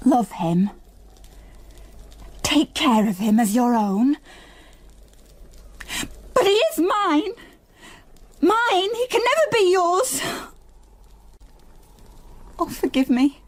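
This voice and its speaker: High, anguished young mother. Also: very animated, heavily acted. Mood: grieving, pleading. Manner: impassioned, pleading, deliberate. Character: anguished young unmarried mother, desperately possessive of her son. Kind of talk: gothic radio drama acting.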